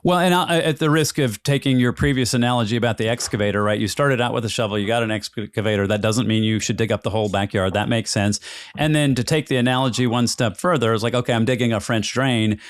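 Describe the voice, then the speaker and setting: Guy hosting a podcast, mid pitch, dry close sound, talks things through with analogies, keeps checking in. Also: quick pace, smooth, no room sound. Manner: explains through extended analogies, rhetorical "right?" checks. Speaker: podcast host interviewing a guest. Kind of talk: conversational podcast interview.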